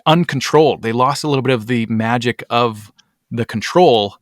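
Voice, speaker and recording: A man hosting a podcast, talking casually with lots of ums and quick asides. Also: mid-range voice, no echo, clean studio sound. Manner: chatty, many ums, rising asides. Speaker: podcast host. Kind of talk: casual chat.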